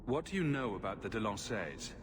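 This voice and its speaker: An actor playing a bold, defiant champion adventurer in a video game, direct and dry. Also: male voice, lowish voice, very animated, brisk, background noise. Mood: questioning.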